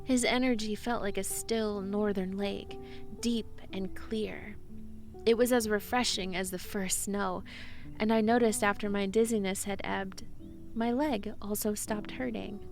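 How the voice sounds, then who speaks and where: A woman's voice: mid-range voice, fairly even intonation, measured pace, close to an everyday voice. A woman playing a shy, eager young druid in a romantic audio drama, with an earnest manner. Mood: dazed, soft. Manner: earnest, stammering when flustered in dialogue. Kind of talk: romantic audio drama, first-person narration and dialogue. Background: music.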